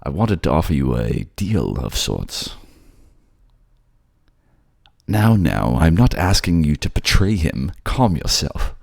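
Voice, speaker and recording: A man voicing a doting merman king in a romantic audio roleplay, courtly, gently teasing and indulgent. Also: extremely low pitch, sing-song, unhurried, creaky, no echo, clean studio sound, heavily acted. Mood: earnest, soothing.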